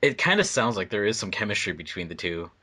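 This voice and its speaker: A man's voice: on the low side, big pitch swings, quick pace, bright, forceful tone. A man from a fandub crew chatting casually on a commentary track.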